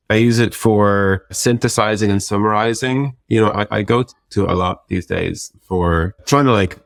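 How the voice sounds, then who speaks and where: A man's voice: deep voice, gravelly, no room sound. A man speaking as an interview guest, explaining things casually and reflectively in an informal conversation. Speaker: interview guest, tech product executive.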